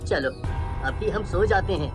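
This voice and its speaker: A woman's voice: fairly low pitch, animated, measured pace. A woman voicing a meek, humble young father, poor and helpless, in a plaintive dramatized story style. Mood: weary. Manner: plaintive, pleading. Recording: music bed behind the voice.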